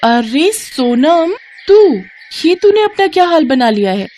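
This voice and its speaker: A woman voicing a well-off young woman, a concerned and outspoken childhood friend, frank and expressive in a storybook tale. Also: sits high, slow pace, slight background noise, pitched above the performer's own voice, full character voice. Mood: shocked.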